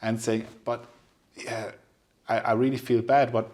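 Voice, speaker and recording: A man in his forties hosts a conversational podcast in a medium-pitched voice. He speaks hesitantly, feeling for the right words, and his phrasing is that of a non-native speaker. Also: little pitch movement, unhurried, a little mellow. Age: 40s. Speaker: podcast host. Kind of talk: casual interview conversation.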